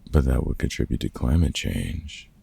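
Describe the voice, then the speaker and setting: A man playing a gruff but kind-hearted veteran vampire hunter in a comfort audio roleplay, talking casually and swearing freely in a gravelly, husky voice. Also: extremely low pitch, lively intonation, mellow, full character voice. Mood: grumbling, cynical. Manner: gruff, sweary, casual mentor talk with pauses.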